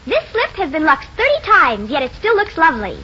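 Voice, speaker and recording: Female sponsor spokeswoman, American accent, very high voice, swooping intonation, scripted 1940s ad copy, muffled old recording. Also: huge pitch swings, a little fast, sharp tone, slight background noise. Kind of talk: scripted 1940s radio advertising demonstration.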